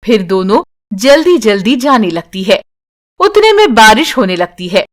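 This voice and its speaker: Slow, high-voiced woman narrating a story. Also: sing-song. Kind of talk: scripted children's story narration.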